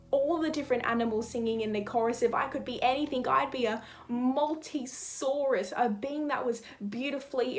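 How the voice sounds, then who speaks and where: Female spoken-word poet, higher-pitched, rhythmic and theatrical in telling a rhymed story, clear music bed underneath. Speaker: slam poet. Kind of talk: slam poetry recitation.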